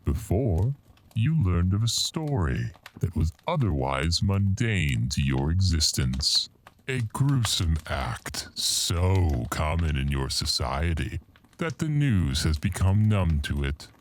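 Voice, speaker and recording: An actor playing a sinister, all-knowing otherworldly storyteller in a horror radio drama, theatrical, deliberate and solemn. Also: male voice, deep voice, very animated, a little hiss or hum, heavily acted. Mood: grave, foreboding. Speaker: anthology narrator.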